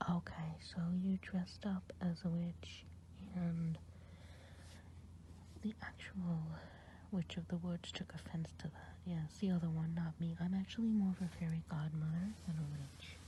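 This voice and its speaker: A woman voicing a kind, gossipy good witch in an ASMR roleplay, whispering close to the mic and rambling with gentle hesitations. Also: fairly low pitch, slight background noise, natural voice, poor audio. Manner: whispered throughout.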